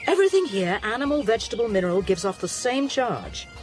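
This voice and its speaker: A woman's voice: mid-range voice, very animated, fast talker, over music, lightly acted. An actress playing an inquisitive, dry-witted woman scientist who explains things wryly in a radio drama. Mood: keen, wry.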